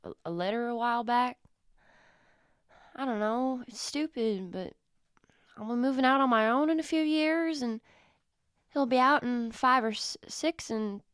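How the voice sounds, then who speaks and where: A woman acting in a radio play as a guarded teenage girl, speaking hesitantly and haltingly. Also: on the high side, fairly bright tone, clear, resonant tone, no room sound, clean studio sound.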